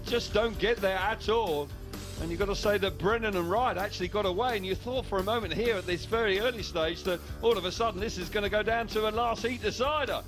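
Man, high voice, sports co-commentator, measured analysis, music playing behind. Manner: measured, analytical race summary.

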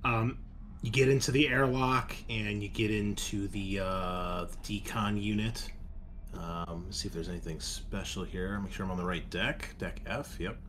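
Guy game mastering, lowish voice, casual n halting, bit of noise behind. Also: fairly even intonation, fairly bright tone. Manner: casual, halting, often pausing to think. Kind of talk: narrating a tabletop roleplaying game. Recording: clear background noise.

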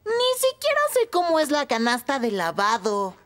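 A woman voicing a mischievous, reluctant young boy in a Latin American Spanish cartoon dub, talking in drawn-out excuses. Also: sits high, wildly swooping pitch, full character voice. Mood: whiny, reluctant. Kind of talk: animated children's cartoon dub. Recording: loud music behind the voice.

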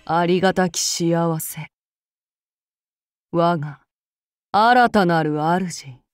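An actress voicing a calm, menacing samurai woman of demon blood, speaking in formal archaic phrasing to her master. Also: fairly low pitch, drawn-out words, faint music behind. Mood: devoted. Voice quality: formal, archaic courtly speech. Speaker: game character voice actress. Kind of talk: game character voice lines.

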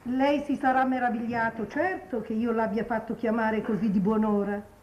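An actress playing a cold, commanding old marchioness in a 1950s Italian television period drama, with a haughty, measured delivery. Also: female voice, fairly high pitch, steady tone, a little hiss or hum, lightly acted. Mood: grave, measured. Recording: dull 1950s TV soundtrack.

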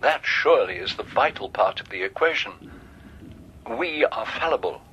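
Stern cardinal, sharp bright voice. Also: male voice, fairly low pitch, expressive, moderate pace, muffled recording, slight background noise. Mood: grave, insistent. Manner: grave, emphatic, pronouncing. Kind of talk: radio drama acting.

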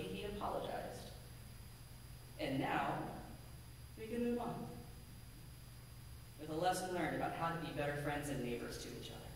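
A woman minister giving a measured, earnest sermon from a pulpit in a church sanctuary, with a pulpit microphone and a distant camera. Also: low voice, slight background noise. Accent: American. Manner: measured, deliberate, earnest preaching with emphatic lists. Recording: echoey sanctuary from far off.

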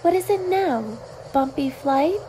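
A woman's voice: very high voice, a little slow, very noisy. A woman playing a scornful, exasperated wife in a radio play. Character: exasperated scornful wife, bored and sharp-tongued.

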